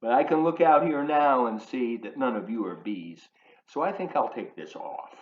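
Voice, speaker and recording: Male children's storyteller, playful and animated in intonation, addressing kids directly. Roomy, slightly dull home-video sound. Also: medium pitch, moderate pace. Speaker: volunteer children's book reader. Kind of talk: read-aloud of a picture book for young children, with asides. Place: living room at home.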